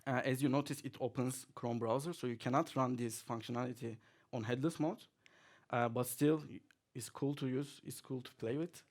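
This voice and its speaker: Man giving a relaxed tech talk. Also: mid-range voice, moderate pace. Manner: relaxed and chatty, with many fillers, chuckling now and then. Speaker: conference speaker. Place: conference hall, audience present.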